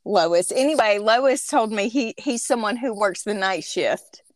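A woman's voice: medium pitch, lively intonation, unhurried, no echo, clean studio sound. A woman in her thirties hosting a podcast, telling a rambling, chatty story. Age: 30s. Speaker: podcast host. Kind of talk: casual chat.

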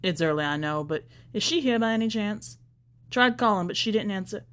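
A woman's voice: medium pitch, expressive, brisk, a bit bright, natural voice. Dogged male reporter, read by a woman, terse and probing, suspicious, for an audiobook story. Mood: urgent. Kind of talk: acted storybook dialogue.

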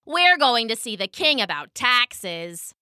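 A brave little storybook duck, as a woman reads him out, earnest and chanting his quacks. The voice sits high and bounces around a lot. It is close and dry. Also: big pitch swings, slow, pushed and bright, clean tone, dry recording, exaggerated voice.

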